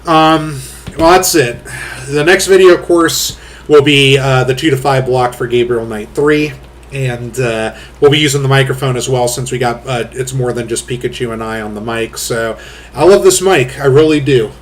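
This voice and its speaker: A man hosting a casual commentary chat, rambling through fillers with blunt, crude talk and a booming voice. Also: moderate pace, a little hiss or hum. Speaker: host.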